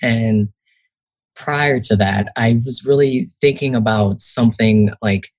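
A man's voice: medium pitch, slow pace, darkish tone, dry recording. A young man from New Jersey, a guest author, chatting casually with many fillers and acted-out quotes. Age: young adult. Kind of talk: casual interview talk.

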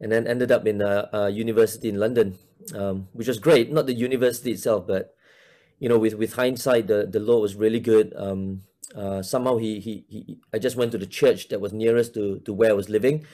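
A man's voice: lowish voice, steady tone, a little fast, warm tone. A man in his forties from Malaysia, a church minister talking casually in an interview, hesitant, with many fillers and restarts.

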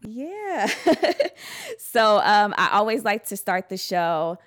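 A woman's voice: mid-range voice, very animated, takes it slowly. Effusive woman hosting a podcast, laughing often. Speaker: podcast host. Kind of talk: upbeat podcast interviewing, casual chat.

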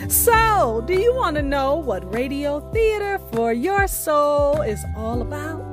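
A woman with a high voice hosts a radio show, delivering a scripted introduction. She stresses words theatrically, and music plays behind her. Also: very animated, slow. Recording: voice over music bed.

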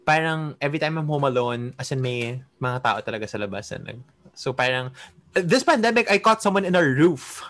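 Guest, mid-twenties woman, Filipino accent, animated and chatty storyteller. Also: rumbling low, brisk. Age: 20s. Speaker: podcast guest, dancer. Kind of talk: casual chat.

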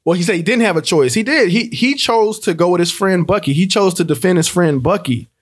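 A man's voice: high-pitched, fairly quick. A man on a film podcast panel in a casual debate, repeating phrases to press his point.

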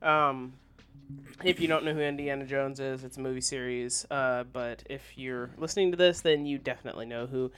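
A man's voice: medium pitch, steady tone, moderate pace, fairly bright tone, a little hiss or hum. A man co-hosting a podcast, chatting casually in a blunt, informal way. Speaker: podcast co-host. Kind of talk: casual chat about entertainment news.

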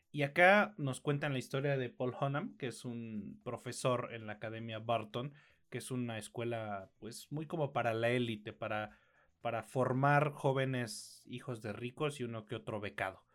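A man's voice: medium pitch, moderate pace, a bit bright. A Mexican-accented man in his late thirties with a mid-range voice talks through reviews as a podcast co-host. He is opinionated and casual, leaning on slang and swearing freely as he makes his points. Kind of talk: casual film and TV review chat.

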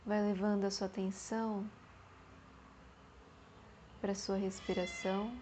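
A Brazilian woman guiding a meditation in a soothing way, in short phrases separated by long pauses. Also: medium pitch, flat delivery, dark tone, a little hiss or hum. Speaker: meditation guide. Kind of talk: guided meditation.

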